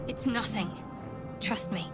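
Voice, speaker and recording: An actress playing a wary young woman in a video game, speaking with measured, dry composure. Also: medium pitch, natural voice. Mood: guarded. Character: guarded, wary young woman freed from captivity. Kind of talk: video game character acting. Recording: music behind the voice.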